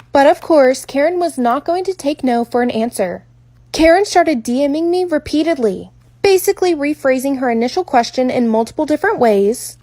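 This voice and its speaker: A woman's voice: sits high, a little mellow, no echo. A woman narrating a scripted first-person storytime in an orderly, articulate way with full sentences. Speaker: makeup artist storyteller.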